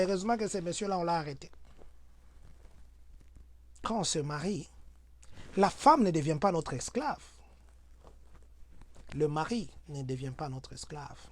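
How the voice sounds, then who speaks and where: A man talks through everyday advice for his community. He is earnest and preachy, and his voice jumps to loud exclamations when he makes a point. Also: sits high. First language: Swahili. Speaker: community advisor.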